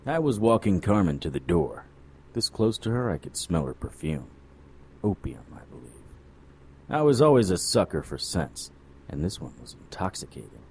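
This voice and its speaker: An actor playing a cynical, world-weary private detective in a noir radio drama, wry and sardonic. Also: male voice, deep voice, sing-song, moderate pace, a little mellow, breathy edge, full character voice. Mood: wry, brooding. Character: cynical male hard-boiled occult private detective.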